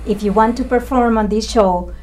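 A woman's voice: fairly high pitch, normal speed, a little mellow, a little hiss or hum. A woman co-hosting a TV talk show, speaking hesitantly with fillers in a studio. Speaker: co-host. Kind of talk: casual studio chat.

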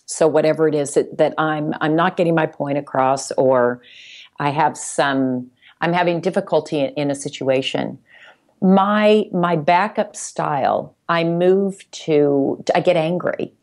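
Low-pitched woman chatting. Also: a little slow, a little mellow. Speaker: podcast guest, sales executive. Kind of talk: casual conversation.

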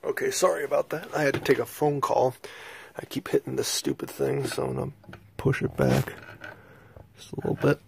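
An American man with a slightly gravelly voice giving a casual, halting, rambling video tour indoors on a handheld phone. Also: medium pitch, steady tone, darkish tone, a little hiss or hum. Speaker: host, hobbyist collector. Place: small bedroom, indoors. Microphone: handheld phone, speaker behind the camera.

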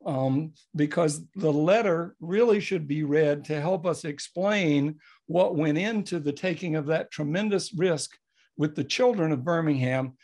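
Older man, fairly high-pitched, reflective. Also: unhurried, a little mellow. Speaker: guest speaker, historian. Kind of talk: unscripted panel remarks over video call. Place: home office, indoors. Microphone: computer mic on video call.

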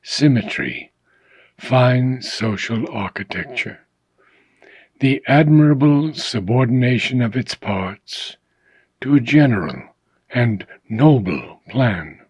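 Slow, husky man reading aloud. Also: medium pitch, animated, no room sound. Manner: measured, deliberate, phrase by phrase with pauses. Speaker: reader. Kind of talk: audiobook-style reading of philosophical prose.

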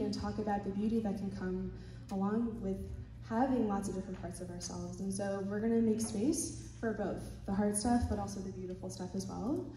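A woman moderating a panel discussion in a conference room, speaking gently and stuttering at times. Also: mid-range voice, a little hiss or hum. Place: hotel conference room with audience. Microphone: handheld mic, recorded from far in the room. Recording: echoey conference hall from far off.

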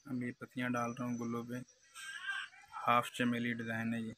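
Monotone Pakistani craftsman, bright forceful voice. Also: mid-range voice, a little fast. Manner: repetitive, step-by-step narration. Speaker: glass engraver, channel host. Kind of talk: live craft demonstration commentary. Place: glass cutting workshop. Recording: noisy workshop background hum.

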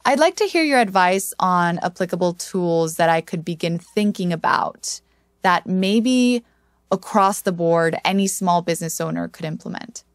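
A woman's voice: lowish voice, lively intonation, normal speed, clean tone, dry recording, clean studio sound. A woman hosting a podcast, moving between scripted narration and interview questions. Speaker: podcast host.

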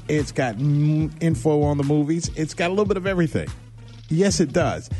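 A man's voice: fairly high pitch, darkish tone. An American man hosting radio with upbeat, chatty DJ talk and conversational asides, speaking on a studio microphone. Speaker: radio host.

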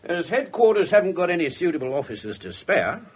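An English actor playing a blunt, sardonic, wisecracking police inspector in a radio drama. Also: male voice, on the high side, very animated, a little fast, breathy edge. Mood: wry, wisecracking. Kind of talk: 1970s radio drama acting. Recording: noisy 1970s radio broadcast recording.

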